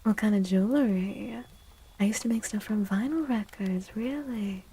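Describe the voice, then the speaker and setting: A woman speaking softly and breathily, often near a whisper, in a gentle ASMR livestream style. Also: mid-range voice, moderate pace, breathy edge. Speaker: streamer and tarot reader. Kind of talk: soft-spoken ASMR livestream chat.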